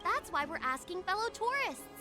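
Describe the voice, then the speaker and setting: A woman's voice: very high-pitched, very animated, normal speed. A woman voicing a perky, fussy young tourist trader in a video game. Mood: businesslike, perky. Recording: game music behind the voice.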